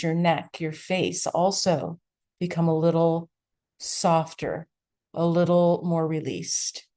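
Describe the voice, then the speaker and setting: A woman with a low voice leads a guided meditation as its teacher. She speaks very slowly, offering gentle instructions in short phrases. Long, unhurried pauses separate one phrase from the next.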